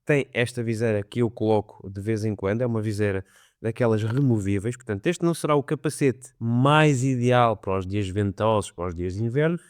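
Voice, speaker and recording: A man's voice: lowish voice, brisk, no room sound, clean studio sound. A man with a European Portuguese accent, chatting casually to his viewers as he reviews gear and rides a motorcycle. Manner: chatty, addresses viewers directly. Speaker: motovlogger. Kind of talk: casual product review vlog. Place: riding a motorcycle outdoors on the road. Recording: loud wind and road noise.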